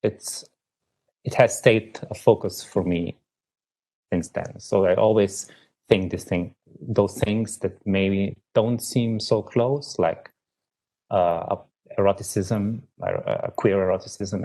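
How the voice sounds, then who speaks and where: A man in his thirties from Slovenia speaks in a low, gravelly voice with a dark tone. He answers slowly and thoughtfully, pausing often and restarting his sentences as he thinks aloud. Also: fairly even intonation, poor audio. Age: 30s. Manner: halting, thinks aloud with many ums and restarts. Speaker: poet guest. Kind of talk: reflective interview answers.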